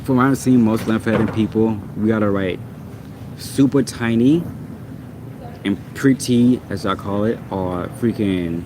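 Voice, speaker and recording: A man's voice: on the low side, measured pace, background noise. A man whose first language is Portuguese, ranting casually and with exasperation in a rambling, repetitive way. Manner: exasperated, rambling, repeats himself.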